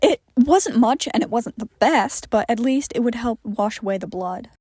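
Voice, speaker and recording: Female narrator for fan fiction audio, higher register, very dry recording. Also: animated, normal speed, darkish tone, slightly rough, dry recording. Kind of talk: scripted narration of a story.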